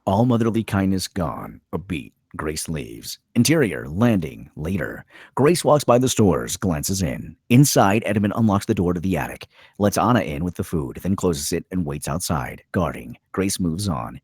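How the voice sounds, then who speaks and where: A man's voice: low-pitched, sing-song, moderate pace, hardly any pauses, darkish tone, husky, no room sound, clean studio sound. A man reading screenplay stage directions as scripted narration, in terse, dramatic phrases.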